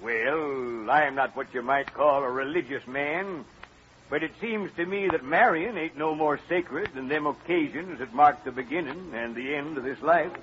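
Actor as a high-pitched folksy frontier man. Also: moderate pace, fairly bright tone, a little hiss or hum, natural voice. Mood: earnest. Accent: American. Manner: rustic drawl, folksy. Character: folksy, jovial frontier wagon-train man, unschooled and decisive. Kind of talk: old-time radio western acting. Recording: muffled old radio broadcast, crowd behind.

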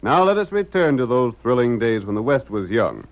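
A man's voice: medium pitch, sing-song, normal speed. A man with an American accent narrating a vintage radio western in a dramatic, declamatory announcer style. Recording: muffled vintage radio recording.

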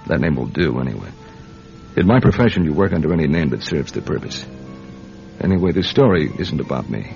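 An actor playing a wry, world-weary American intelligence agent in a radio drama, dry and deliberate as he tells and probes his case. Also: extremely low pitch, very animated, creaky, dark tone, exaggerated voice. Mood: wry, brooding. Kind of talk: radio drama acting, first-person spy narration. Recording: dull radio recording with music. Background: music.

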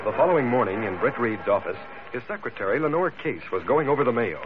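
A man's voice: mid-range voice, sing-song, fast. A man narrating a radio serial in a formal 1940s announcer style. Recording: muffled vintage radio, loud music behind. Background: music.

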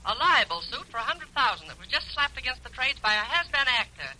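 A domineering older American woman boss in a 1940s radio drama. Her delivery is curt and commanding, with a sharp, forceful tone and big swings in pitch. It sounds like an old broadcast. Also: big pitch swings, fast, full character voice, poor audio. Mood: brisk, demanding. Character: bossy, brusque older female publishing tycoon.

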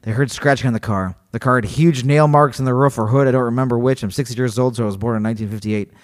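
A man's voice: mid-range voice, fast. Joking male podcast host reading aloud. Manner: animated, joking, laughs often. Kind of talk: casual chat, reading a story aloud.

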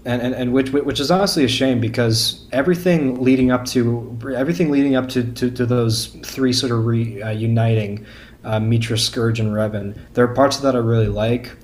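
A man talks through his thoughts in a casual podcast discussion at a medium pitch. He often stammers and repeats words while finding his phrasing. Some room noise sits behind the voice. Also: steady tone, fairly quick, darkish tone. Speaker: podcast guest or panelist discussing a book.

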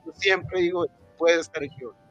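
A Colombian man giving his opinions at a book presentation, explaining emphatically with hesitant pauses. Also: fairly high pitch, fairly bright tone, muffled recording, faint music behind. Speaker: writer and psychologist, guest speaker.

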